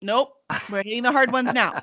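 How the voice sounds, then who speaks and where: A woman interviewing in a casual, playful way, chatty, expressive and enthusiastic. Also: fairly high pitch, a little fast, dry recording. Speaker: podcast interviewer.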